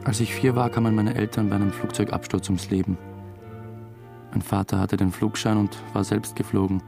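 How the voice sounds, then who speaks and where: A man's voice: on the low side, flat delivery, brisk, vocal fry, over music. An actor playing a diffident young theatre dramaturg who narrates his own story in a radio drama, dry and understated. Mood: reflective.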